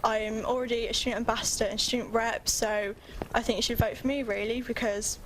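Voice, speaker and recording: A young woman candidate making a short, self-assured pitch. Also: little pitch movement, brisk, slight background noise, rough recording.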